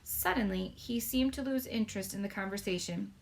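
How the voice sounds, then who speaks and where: A woman reading a children's story aloud as narrator, in expressive scripted narration. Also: medium pitch, brisk, gravelly, rough recording. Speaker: storybook reader.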